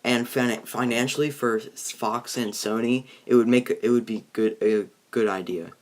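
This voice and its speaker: A man's voice: medium pitch, steady tone. A young man hosting a casual film discussion, feeling his way through sentences and rephrasing as he goes. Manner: halting, rephrasing often. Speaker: host, video reviewer.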